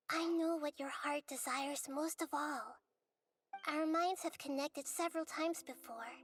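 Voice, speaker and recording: A high, youthful voice over soft music, a wise child goddess from a fantasy game. She is gentle and earnest, choosing her words in a measured, articulate way. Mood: earnest, tender. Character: gentle, wise young girl deity of a nation. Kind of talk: scripted video game voice acting.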